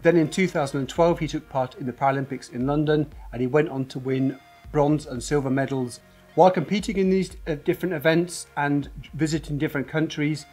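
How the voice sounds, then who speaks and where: A man in his forties presenting a scripted company explainer to camera in a dark studio, earnest and steady, gesturing with his hands as he talks. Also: fairly high pitch, slightly rough. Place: dark studio set with a generator on display. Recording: faint music bed under the voice.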